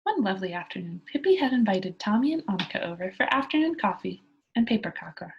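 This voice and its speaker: A woman's voice: medium pitch, sing-song, fast talker, gravelly, lo-fi sound, slight background noise. A woman reading a children's book aloud as a storyteller, talking to young listeners as she goes. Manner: engaging listeners with simple questions.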